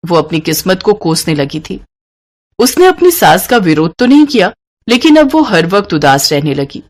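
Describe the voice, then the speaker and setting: A woman's voice: fairly low pitch, big pitch swings, normal speed. A woman storyteller reading scripted narration for a moral tale.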